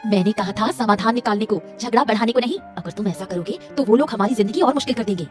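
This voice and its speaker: A woman's voice: mid-range voice, fast, soft background music, natural voice. A woman voicing an exasperated, sensible wife in a cartoon story, scolding wearily and emphatically. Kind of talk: Hindi cartoon story dialogue.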